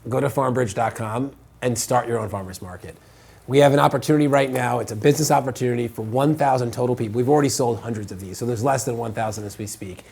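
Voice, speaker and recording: Gravelly, pushy pitch-show host. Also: male voice. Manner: energetic salesman, repeats words.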